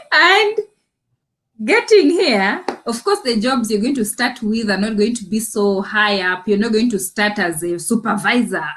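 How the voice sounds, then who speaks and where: A woman from Uganda co-hosting a livestream in a chatty, easygoing way. She speaks slowly in a fairly high voice and laughs often as she shares her experiences. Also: expressive, fairly bright tone. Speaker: livestream co-host with her own channel. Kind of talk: casual chat about personal experience.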